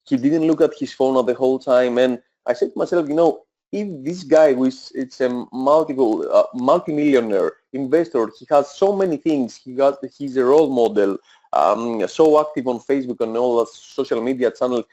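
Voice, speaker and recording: A man's voice: medium pitch, moderate pace, warm tone, lo-fi sound. A man in his thirties with a Greek accent, presenting a sales webinar in an eager, persuasive way, with many fillers and self-corrections. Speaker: webinar presenter, e-commerce coach.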